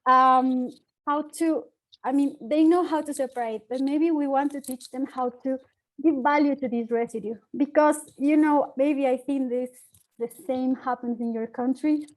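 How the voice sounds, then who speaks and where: A woman's voice: high voice, steady tone, normal speed, poor audio. A young woman from Ecuador with a Spanish accent, explaining her work as a panelist in an online discussion. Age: young adult. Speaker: youth activist, webinar panelist.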